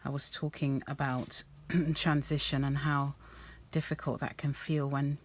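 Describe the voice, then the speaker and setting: Low-voiced woman chatting while crafting. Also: level tone, unhurried. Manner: rambling, soft-spoken, many ums and restarts. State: slightly croaky voice. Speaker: art journaling vlogger. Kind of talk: casual craft-along chat.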